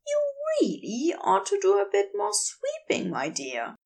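A woman voicing a polite, fussy, earnest gentleman in audiobook character dialogue. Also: medium pitch, wildly swooping pitch, measured pace, pitched below the performer's own voice. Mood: prim.

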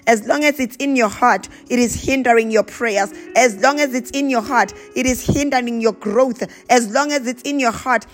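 High-pitched woman preacher, insistent and exhorting. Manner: exhorting, insistent repetition of short phrases. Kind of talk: charismatic sermon with prayer and interjected speaking in tongues.

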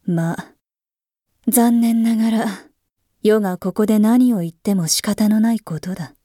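A woman voicing a world-tired figurehead emperor who speaks in archaic, imperious phrasing, musing slowly and half-whispering in a voice drama. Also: medium pitch, dark tone, no room sound, studio quality, natural voice. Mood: brooding. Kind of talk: voice drama monologue.

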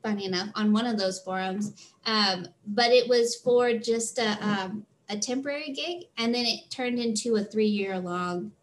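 Chatty woman with slightly high voice. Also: unhurried. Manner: chatty, many "um" fillers, earnest. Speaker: guest, freelance theater tour manager. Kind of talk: casual interview answers.

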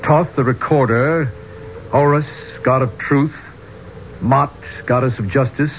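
An actor playing an affable but hot-headed archaeologist with a casual, blunt manner in a 1970s radio drama. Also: male voice, mid-range voice, unhurried, lightly acted. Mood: explaining. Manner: casual, plain-spoken, blunt. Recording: muffled, noisy 1970s radio recording.

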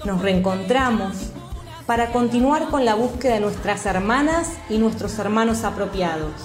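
A woman's voice: mid-range voice, expressive, normal speed. An Argentine woman activist reading a scripted declaration in a solemn, declamatory manner. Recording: roomy echo, music behind.

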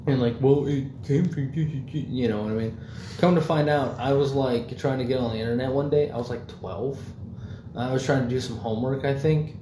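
A man's voice: medium pitch, a little fast, warm tone. Rambling, swearing young man in an echoey room. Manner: rambling, swears often, many fillers. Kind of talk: casual chat. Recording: echoey room, faint background noise.

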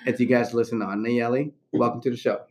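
A man's voice: mid-range voice, fairly even intonation, fairly quick, a little mellow, slightly rough, dry recording. A man hosting a casual podcast interview, upbeat and chatty, with lots of fillers and restarts. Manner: upbeat, hyped greeting with many fillers and restarts. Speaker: podcast host.

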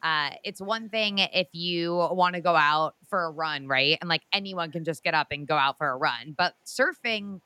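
A woman's voice: lowish voice, moderate pace, hardly any pauses, sharp tone, clear, resonant tone, dry recording. A woman hosting an interview, asking questions with enthusiastic reactions. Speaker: interviewer host.

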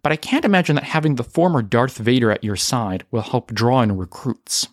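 A man's voice: mid-range voice, lively intonation, a little fast, no echo, lightly acted. A blunt, skeptical military man, voiced by a male narrator in an audio drama. He sounds practical and doubtful, in a dry recording. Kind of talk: audio drama character reading.

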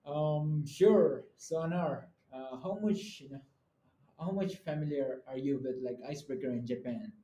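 A young man from Nepal co-hosting a student podcast in a relaxed, chatty way. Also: a little high, moderate pace, reverberant. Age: young adult. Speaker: podcast co-host. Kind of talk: casual podcast chat.

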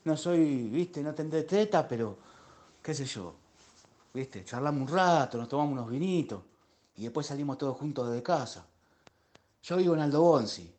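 A man's voice: on the high side, animated, moderate pace, darkish tone, lightly acted. A man playing a nostalgic middle-aged Argentine who rambles and repeats himself in a comic radio play voice message. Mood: friendly, rambling. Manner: rambling, repetitive.

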